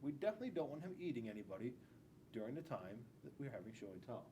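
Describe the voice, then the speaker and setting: A man's voice: medium pitch, very animated, very fast, warm tone, a little hiss or hum, poor audio. A middle-aged man, a school police officer, reading a picture book aloud to young children, stressing key words and adding asides. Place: indoors in a school classroom.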